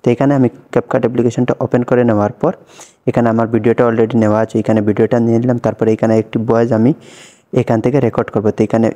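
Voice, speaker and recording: A man's voice: mid-range voice, very fast. A young man walking viewers through an app tutorial step by step, repeating each action as he goes. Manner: steady step-by-step instructions, much repetition. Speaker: tutorial host. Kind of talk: screen-recorded app tutorial.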